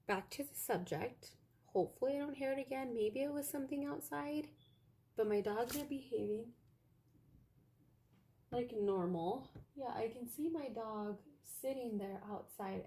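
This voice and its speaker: Young woman crafter rambling to her viewers, loud voice, lots of ums and likes, chatting from her home office. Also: a little high, breathy edge. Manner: chatty, meandering, lots of fillers. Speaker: craft vlogger. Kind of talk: rambling casual chat to viewers.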